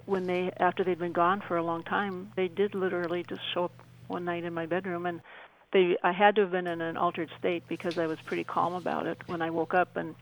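A middle-aged woman with a noticeably creaky, fry-laden voice explains things as a guest on a radio interview. Her intonation stays flat and narrow. She speaks earnestly and haltingly, circling back to repeat phrases for emphasis. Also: on the low side.

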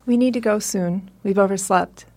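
A woman's voice: mid-range voice, moderate pace, a little mellow, lightly acted. An actress playing a tough, distrustful middle-aged mother in a post-apocalyptic audio drama, talking in a blunt, wary way. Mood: firm, businesslike. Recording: music under the voice.

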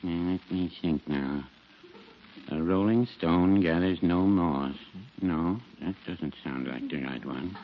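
An actor playing a mild, hesitant, folksy older small-town druggist in a 1940s radio comedy. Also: male voice, deep voice, takes it slowly. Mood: musing, folksy. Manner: hesitant, contradicts himself, mild. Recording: noisy, dull 1940s radio recording.

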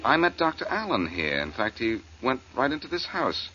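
A man's voice: medium pitch, sing-song, normal speed, sharp tone, faint music behind. A man playing a breezy, wisecracking, self-assured amateur sleuth in a 1940s American radio drama. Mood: needling, protective. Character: breezy, cocky male amateur sleuth, adult, quick-witted. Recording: muffled, noisy old radio recording.

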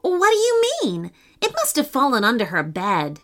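A woman's voice: a little high, wildly swooping pitch, moderate pace, fairly bright tone. A woman voicing a young boy, an anxious and questioning big brother, in a children's story. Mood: concerned. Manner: questioning, concerned.

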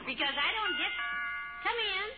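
Patient wife, lively pitch. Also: female voice, normal speed, loud music behind the voice. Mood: affectionate. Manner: folksy endearments, gentle chiding. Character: patient, affectionate, gently scolding wife, Molly. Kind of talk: 1940s radio comedy acting. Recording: very noisy, tinny old radio broadcast.

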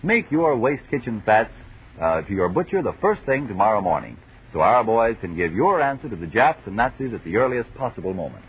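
An American man announcing on 1940s radio. His low voice is very rough-edged and swings in pitch as he sells with polish and emphasis. The sound is narrow and muffled. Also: very animated, fairly quick. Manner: polished, emphatic salesman delivery. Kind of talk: 1940s radio advertising and banter.

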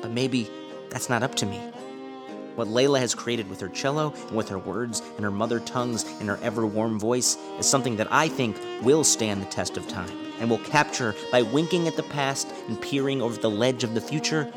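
A man hosting a podcast, musing earnestly through rhetorical questions before he introduces a guest. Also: lowish voice. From United States. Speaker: podcast host and musician. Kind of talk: reflective monologue and interview.

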